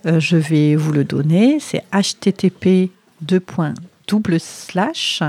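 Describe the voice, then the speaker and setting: A woman with a southwestern French accent answering interview questions conversationally, often pausing to find her words. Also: low-pitched, darkish tone, no echo. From southwest France. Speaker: storyteller and writer, interview guest.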